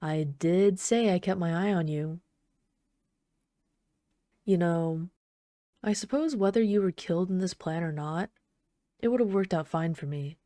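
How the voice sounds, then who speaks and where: A woman playing an obsessive, sweetly menacing supervillain in an ASMR roleplay, soft-spoken, doting, possessive and taunting. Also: lowish voice, measured pace, long pauses, dry recording, studio quality, lightly acted. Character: obsessive yandere female supervillain, sweetly menacing, adoring her captive.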